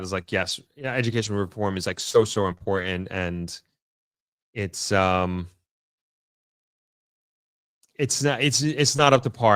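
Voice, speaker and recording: A man with a fairly low voice talks casually as a livestream host. He sounds tired and thinks aloud in a halting, informal way. The sound is dry, with very little room echo. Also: a bit bright. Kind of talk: casual livestream chat, reading out viewer comments.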